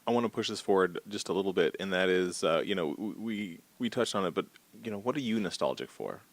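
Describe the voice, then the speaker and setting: Guy asking questions, low voice, stammery starts, dry recording. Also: lively intonation, fast talker, no room sound. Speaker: interviewer. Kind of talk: conversational interview.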